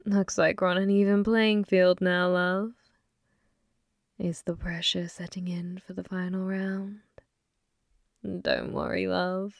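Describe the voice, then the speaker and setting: Woman playing a slow, creaky, seductive demon gambler. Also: fairly low pitch, little pitch movement, dry recording, clean studio sound. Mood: taunting, then seductive, possessive. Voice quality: breathy, often dropping to a whisper. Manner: slow, teasing, calls listener love. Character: flirty, possessive female demon gambler, taunting and sweetly menacing. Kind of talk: ASMR audio roleplay. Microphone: close microphone, dry.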